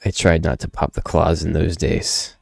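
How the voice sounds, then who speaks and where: A man reading as a gruff, haunted loner who narrates a grim past in a brooding, world-weary manner. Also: very deep voice, flat delivery, moderate pace, dry recording, studio quality, exaggerated voice. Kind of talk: dramatic first-person comic narration.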